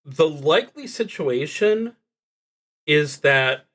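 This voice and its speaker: A man thinking aloud as he plays a solo tabletop roleplaying game on a stream, talking in a deliberate, halting way with dry asides. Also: a little high, expressive, fairly bright tone. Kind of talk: live play narration, thinking aloud.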